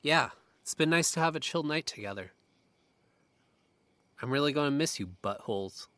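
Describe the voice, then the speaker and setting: A man's voice: on the high side, animated, normal speed, bright, forceful tone, no echo. A young man acting as a casual, profane, protective friend in a horror audio drama. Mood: wistful, quiet. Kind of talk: naturalistic audio drama dialogue.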